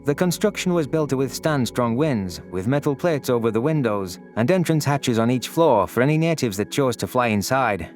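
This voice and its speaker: Mid-pitched man narrating with faint music. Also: lively intonation, normal speed, few pauses. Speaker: narrator of audio stories. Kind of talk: scripted first-person story narration.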